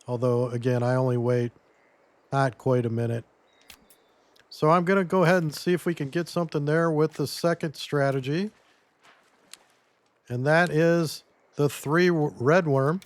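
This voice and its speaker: A man losing his voice a little, giving a relaxed step-by-step gameplay tutorial as commentary. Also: on the high side, dry recording. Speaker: game guide creator.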